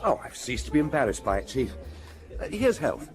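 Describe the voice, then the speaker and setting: An actor playing a good-humoured young police inspector in a radio drama, polite and lightly wry. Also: male voice, medium pitch, big pitch swings, a little fast, faint music behind. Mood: polite, amused. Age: young adult.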